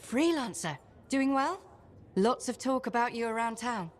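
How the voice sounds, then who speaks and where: A woman's voice: a little high, expressive, unhurried, slight background noise. An actress playing a witty, curious woman scholar.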